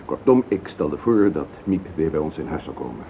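An actor playing an earnest, protective and emotional husband in a radio drama, with a Netherlands Dutch accent. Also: male voice, very deep voice, very animated, moderate pace. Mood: defensive, explaining. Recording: tinny, noisy old radio recording.

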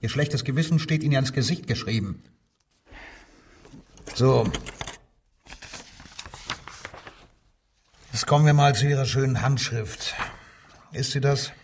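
An actor playing a bullying, sarcastic Nazi-era police commissioner interrogating a suspect in a radio crime drama, with a husky voice. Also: male voice, mid-range voice, moderate pace, pauses often, recorded from a distance, a little hiss or hum, lightly acted. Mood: cold, commanding. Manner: commanding, sneering, sarcastic.

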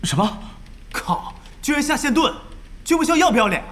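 An actor playing an excitable young male gamer, a deferential team subordinate in an audio drama. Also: very high-pitched, normal speed, slight background noise, full character voice. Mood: outraged. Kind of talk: Chinese audio drama acting.

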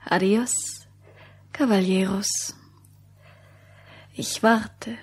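An actress playing a flirtatious, scheming seductress in a German radio play, coquettish and teasing. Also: female voice, mid-range voice, fairly even intonation, drawn-out words. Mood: coy.

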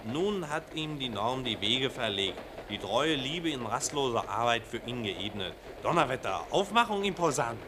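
Man playing a pompous salesman, animated delivery. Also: medium pitch, normal speed, hardly any pauses, lightly acted. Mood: solemn pomp. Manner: pompous, hearty sales patter. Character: brash, pompous patriotic food-products salesman. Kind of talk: 1940s radio play acting. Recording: noisy old 1940s radio recording.

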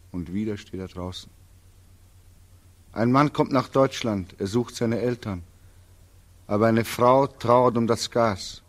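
Slow, haunted war returnee. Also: male voice, on the low side. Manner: anguished, incantatory, dramatic. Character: despairing war returnee Beckmann, haunted, exhausted, bitter. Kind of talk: 1940s German radio play acting, declaimed monologue.

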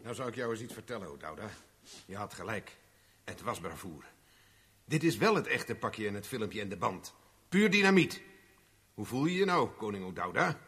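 An actor playing a cocky, shrewd private investigator in a 1970s radio play, mocking and self-assured. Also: male voice, fairly low pitch, big pitch swings, normal speed, long pauses, fairly bright tone, husky, big-room sound, exaggerated voice. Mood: triumphant, menacing.

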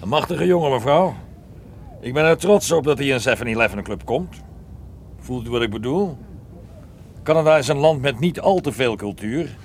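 Man as earnest, slow-witted, brawny club heavy. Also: medium pitch, expressive, slight background noise, natural voice. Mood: proud, obedient. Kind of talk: radio play acting.

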